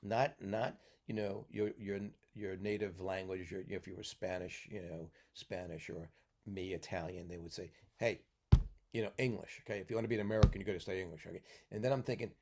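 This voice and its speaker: Man, low pitch, from the US Northeast, podcast mentor, lecturing, frequent "okay?" tags. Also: steady tone, a little fast, darkish tone, slight background noise. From New York, US Northeast. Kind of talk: casual chat.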